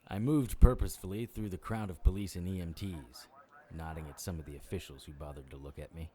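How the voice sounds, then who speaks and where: An actor playing a wry, world-weary immortal investigator in middle age, with a dry, dramatic delivery in an audio drama. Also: male voice, deep voice, steady tone, brisk, crowd noise, natural voice. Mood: tense, matter-of-fact. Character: wry world-weary immortal male investigator who looks about forty. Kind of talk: audio drama dialogue and first-person noir narration.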